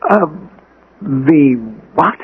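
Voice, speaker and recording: An actor playing an anxious, guilt-haunted gentleman in a radio drama, hesitant, stammering and fretful. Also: fairly high pitch, very slow, breathy edge, heavily acted. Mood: dazed, fearful. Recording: muffled band-limited old radio broadcast.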